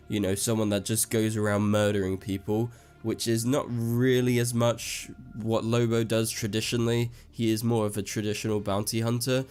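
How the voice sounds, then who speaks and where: A man's voice: on the low side, a little mellow. A man hosting his own online show, breaking down news in a casual, chatty way with frequent asides, his voice a little off as he recovers from an illness. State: voice slightly off after an illness. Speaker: YouTube show host. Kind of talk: casual news commentary to camera.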